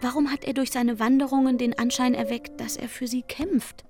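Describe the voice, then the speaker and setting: A woman's voice: high-pitched, fairly even intonation, normal speed, lightly acted. Woman playing an aggrieved young barmaid over music. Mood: bitter. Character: young barmaid, aggrieved, yearning, bitterly jealous of a rival. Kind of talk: literary radio drama monologue.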